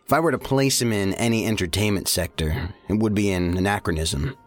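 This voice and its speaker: Man playing stubborn federal agent, lowish voice, blunt, faint music underneath. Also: fairly quick, close to an everyday voice. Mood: pensive, puzzled. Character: stubborn, testy male special agent. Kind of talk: audio drama acting.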